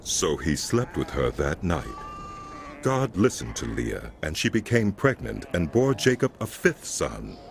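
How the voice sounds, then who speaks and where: Deep, expressive male Bible narrator. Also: measured pace, vocal fry, slight background noise. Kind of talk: scripted dramatic Bible narration.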